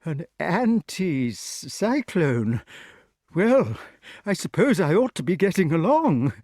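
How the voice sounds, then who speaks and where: Audiobook role: amiable, muddle-headed elderly knight king, high, blustering and very animated. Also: takes it slowly, dry recording, clean studio sound, heavily acted. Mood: nervous, polite. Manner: flustered, exclamatory, blustering upper-class chatter. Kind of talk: audiobook character voice.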